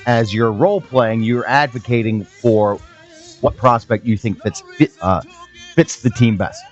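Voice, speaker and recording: A man's voice: medium pitch, unhurried, lo-fi sound, soft background music. A man on a sports radio show explaining the segment's setup and cracking dry jokes. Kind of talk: casual sports radio banter.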